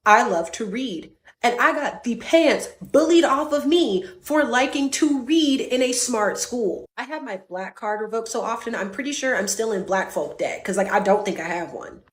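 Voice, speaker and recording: A woman's voice: fairly high pitch, expressive, moderate pace. Wry woman in an echoey room. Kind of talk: casual video monologue.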